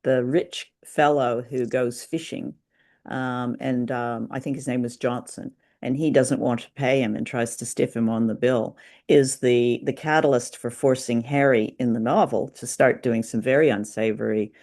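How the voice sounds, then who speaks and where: A woman's voice: a bass voice, steady tone, normal speed, darkish tone. A woman from Canada co-hosting a podcast, talking through a review in a thoughtful, chatty way. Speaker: podcast co-host. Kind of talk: conversational discussion and review.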